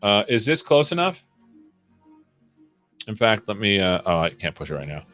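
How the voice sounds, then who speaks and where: A man's voice: lowish voice, very animated, moderate pace, a bit bright, soft background music. A man hosting a developer livestream, coding live and chatting as he works, often muttering to himself. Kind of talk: live coding, thinking aloud.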